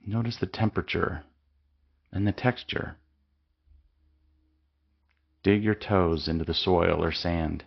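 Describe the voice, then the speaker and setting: A man leading a guided mindfulness meditation with slow, calm, soothing instructions and long pauses. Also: low-pitched, gravelly, slightly rough, dull audio.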